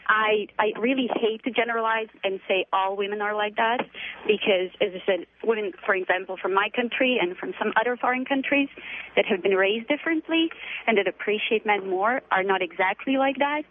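A woman's voice: medium pitch, fairly quick, slight background noise. A woman from Croatia calling in to a talk show, speaking earnestly and with some indignation. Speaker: caller. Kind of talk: phone-in call to a talk show. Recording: tinny phone line.

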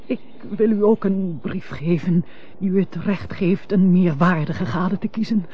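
A woman's voice: fairly low pitch, a little slow, close to an everyday voice. A woman playing a humble, remorseful noblewoman and estranged wife, formal and melodramatic in an old radio drama. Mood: penitent, sorrowful. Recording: muffled old radio recording, faint hiss.

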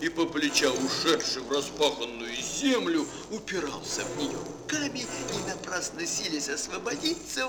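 A man narrating a children's fairy tale as a storyteller in an expressive, theatrical, dramatic manner. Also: fairly high pitch, low-quality recording.

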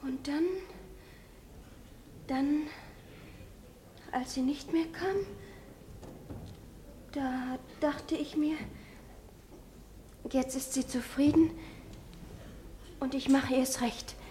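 Frightened young girl, high and slow, halting testimony, 1950s radio drama with light hiss. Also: steady tone, mellow. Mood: anxious. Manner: halting, repeating herself.

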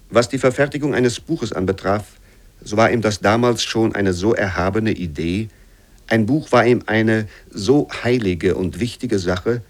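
A man narrating scripted literary prose for a radio play in a measured, formal manner. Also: on the low side.

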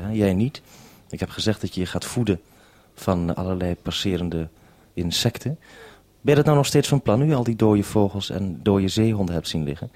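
A Dutch man with a deep, relaxed voice presents on the radio in a wry manner. The sound is close and dry, and he puts his questions with dry irony. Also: no echo. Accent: Dutch, from the Netherlands. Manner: wry, dryly ironic. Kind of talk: radio report and two-way interview.

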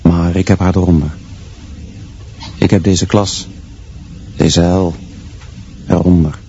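Deep, slow, brooding teacher-narrator. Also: male voice, level tone, gravelly, dark tone, lo-fi sound, a little hiss or hum, exaggerated voice. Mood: brooding, measured. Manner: measured, terse, observant. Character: brooding male teacher narrating his private thoughts. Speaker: actor narrating in a radio play. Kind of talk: literary first-person inner monologue.

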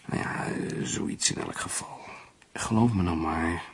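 Reassuring male lover, gravelly, very husky, hesitant, persuasive, radio drama. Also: low-pitched, unhurried, in a whisper, full character voice. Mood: reassuring, uneasy. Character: secret lover, a man insistently reassuring his mistress. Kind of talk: Dutch radio drama acting.

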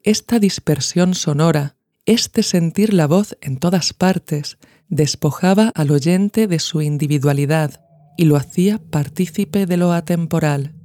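Mellow, higher-pitched male narrator from a podcast. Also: measured pace, no echo. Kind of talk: scripted narration, literary audio essay. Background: music.

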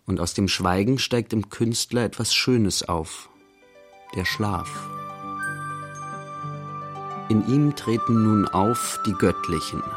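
An actor playing the narrator of a literary radio play, telling an artist's day in measured, short phrases. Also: male voice, on the low side, soft background music. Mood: measured narrating. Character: narrator recounting an artist's day in present tense.

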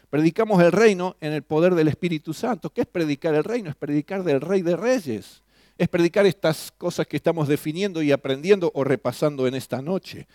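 A man's voice: on the high side, a little mellow, dry recording, clean studio sound. A man preaching a sermon, emphatic and full of rhetorical questions. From Argentina. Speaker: pastor.

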